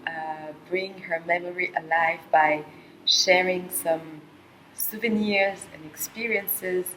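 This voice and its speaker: Soft-spoken, low-voiced middle-aged woman. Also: a little hiss or hum, rough recording. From Colombia. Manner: soft-spoken, halting, with frequent fillers and pauses. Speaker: vlogger sharing a personal experience. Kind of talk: reflective personal monologue.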